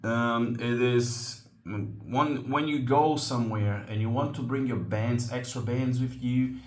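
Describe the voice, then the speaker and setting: A man from Brazil, a native Portuguese speaker, hosting a slingshot channel and explaining a tool in English in a casual, halting way with many ums and restarts. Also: medium pitch, reverberant.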